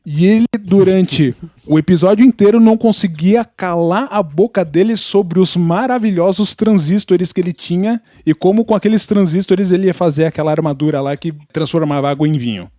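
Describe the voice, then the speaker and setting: A man's voice: high voice, fairly quick, warm tone, dry recording, clean studio sound. A Brazilian man chatting casually on a podcast, explaining things in an opinionated, informal way. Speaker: podcast participant. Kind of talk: casual pop-culture chat.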